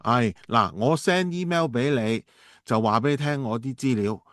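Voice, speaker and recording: A man playing a halting but persuasive businessman in a radio drama. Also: mid-range voice, a bit bright, no room sound, studio quality, natural voice. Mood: pressing, eager. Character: smooth-talking businessman asking a partner for urgent money. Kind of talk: amateur Cantonese radio drama dialogue.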